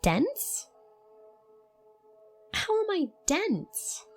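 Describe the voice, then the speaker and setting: A woman playing a shy, awkward young witch student in an audio roleplay, speaking softly and breathily with a nervous, halting stammer. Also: extremely high pitch, huge pitch swings, soft background music, full character voice. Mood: confused, hesitant. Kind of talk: roleplay audio drama addressed to the listener.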